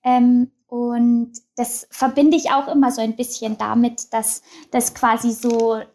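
A young woman with a high-pitched voice talks as an interview guest. She speaks in a casual, open way, full of fillers and restarts. Her voice sits well above the usual range for women. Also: level tone, a little slow, mellow. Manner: open, chatty, many fillers and restarts, laughs often.

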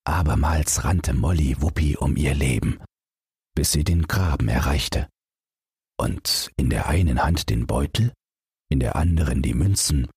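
A man with a husky, gravelly voice reading a bedtime fairy tale as an audiobook narrator in a measured storytelling way. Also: a bass voice, a little mellow, no echo, clean studio sound.

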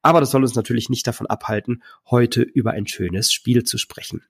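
A man hosting a board game podcast, talking in a chatty, enthusiastic way, hoarse and stuffy from a cold. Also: mid-range voice, fairly even intonation, a little fast. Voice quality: husky, gravelly. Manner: chatty, enthusiastic, some filler words. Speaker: podcast host. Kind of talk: casual solo podcast talk.

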